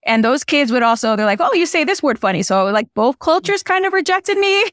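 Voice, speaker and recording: A woman's voice: high-pitched, very animated, fairly quick, a bit bright, dry recording, clean studio sound. A woman from Illinois whose first language is Spanish, a filmmaker guest talking casually and packing her speech with "you know" and "like". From Illinois, USA. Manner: chatty, frequent "you know" and "like" fillers. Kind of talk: casual conversational storytelling.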